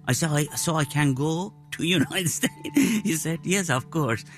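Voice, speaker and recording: Older man, earnest halting speech, interview, soft music behind. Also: on the high side, expressive. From Iran. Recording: faint music bed.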